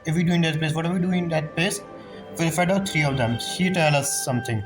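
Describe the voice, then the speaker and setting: A woman's voice: deep voice, fast talker. A woman giving casual reaction commentary as a gamer, musing aloud and repeating phrases. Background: game music.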